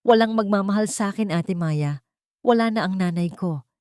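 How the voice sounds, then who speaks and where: A woman's voice: medium pitch, moderate pace, a little mellow, no room sound, clean studio sound, voice pushed up, natural voice. A woman voicing a sad, unloved little orphan girl in an audio story, plaintive and forlorn.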